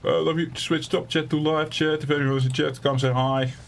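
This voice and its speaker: A man with a raspy voice streaming a survival game from home, chatting casually and rambling in a self-deprecating way. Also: fairly high pitch, steady tone, moderate pace, slight background noise. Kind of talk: casual let's-play commentary.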